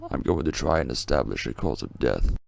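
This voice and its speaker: A man playing a grim, brooding masked vigilante detective in a video game fan dub, speaking tersely and deliberately in a deep, gravelly voice. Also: big pitch swings, dry recording, exaggerated voice. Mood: clinical, grim.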